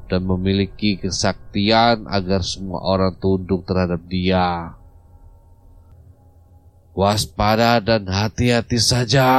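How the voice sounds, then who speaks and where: A man's voice: low-pitched, slight background noise. A man playing a grave old village mystic. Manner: slow, solemn counsel. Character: old grandfather mystic healer, calm and grave. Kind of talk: amateur audio drama acting.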